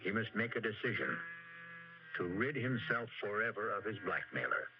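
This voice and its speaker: A very low-voiced man the grave host of a radio mystery. He narrates with portentous, dramatic weight. The recording is muffled, with clear background noise. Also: sing-song, normal speed. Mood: grave, ominous.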